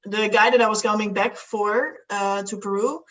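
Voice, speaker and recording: A woman's voice: medium pitch, steady tone, moderate pace, distant mic, dull audio. A young woman from the Netherlands, a guest chatting casually, rambling with many fillers and restarts. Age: young adult. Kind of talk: casual chat.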